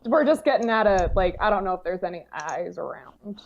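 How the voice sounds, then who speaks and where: A woman's voice: lowish voice, lively intonation, a little fast. A woman playing a tabletop roleplaying game, chatting casually about what her character does. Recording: muffled lo-fi microphone, faint noise.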